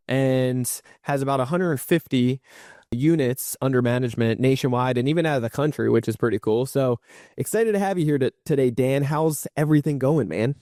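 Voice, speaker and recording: A man's voice: mid-range voice, warm tone, smooth, no echo, clean studio sound. A man hosting a podcast in a casual, upbeat, encouraging interview style. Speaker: podcast host. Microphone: remote virtual call recording.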